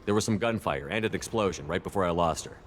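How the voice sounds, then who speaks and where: Man as game commander, low-pitched, quick, direct, serious, commanding, faint background noise. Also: natural voice. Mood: serious, controlled. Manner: direct, serious, clipped questions. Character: confused but commanding male military commander, demanding answers. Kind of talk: video game voice acting, questioning dialogue.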